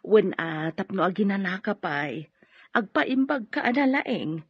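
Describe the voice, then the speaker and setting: An actress plays a protective, fretting Ilocano mother in a drama. Her voice is markedly husky and swings widely in pitch. The recording is dry and somewhat dull. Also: very animated, unhurried, dry recording, exaggerated voice. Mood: tender, advising. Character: worried, protective mother of a wounded young policeman. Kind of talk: Ilocano radio-style drama acting.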